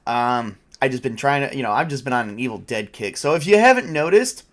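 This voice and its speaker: A man's voice: medium pitch, expressive, a little fast, fairly bright tone. A man with a mid-range voice hosts a gaming stream. He talks casually and rambles along in an informal, slightly crude manner, like a game master chatting to viewers. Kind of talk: casual livestream chat.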